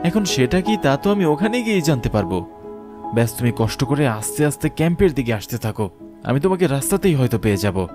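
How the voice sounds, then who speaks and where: An actor playing an expressive young man in an animated horror story, speaking dramatically. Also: mid-range voice, fast, over music, natural voice. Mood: reassuring. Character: young man, animated romantic partner or helpful rescuer.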